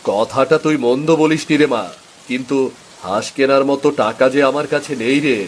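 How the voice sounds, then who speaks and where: A man with a Bengali accent playing a weary, caring, poor village father in a cartoon, plaintive and gentle. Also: mid-range voice, normal speed, slight background noise, natural voice. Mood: resigned, resolute. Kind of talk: cartoon story dialogue.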